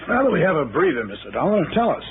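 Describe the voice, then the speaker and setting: An actor playing a fussy, inquisitive medical specialist, wordy and hesitant, in a 1960s radio drama. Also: male voice, a little high, big pitch swings, fast talker, phone-quality sound. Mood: curious. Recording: band-limited early 1960s radio, faint noise.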